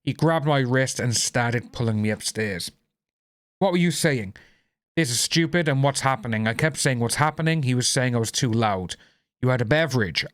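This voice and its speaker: Welsh male commentator, mid-pitched voice, calm, measured read of trial testimony with brief asides, close dry sound. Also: dry recording. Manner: steady, low-key reading with apologetic asides. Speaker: YouTube commentator.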